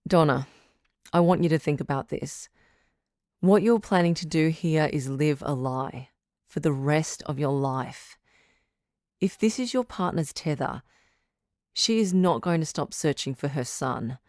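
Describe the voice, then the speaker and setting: A woman playing a composed, frank relationship doctor who hosts a radio call-in drama in a measured, counselling way. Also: low-pitched, darkish tone, no room sound, studio quality. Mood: firm, caring. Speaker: radio advice show host.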